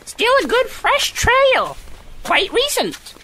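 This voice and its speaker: A man's voice: extremely high pitch, huge pitch swings, slow, bright, forceful tone, a little hiss or hum, pitched above the performer's own voice, full character voice. A man voicing a small, chirpy, sardonic talking dog in an audio drama, drawling wryly.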